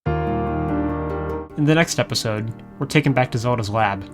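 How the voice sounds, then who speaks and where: A man narrates a video recap in a mid-range voice. He is wry and sarcastic as he walks through the story, with music playing clearly behind him. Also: medium pitch, unhurried. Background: background music.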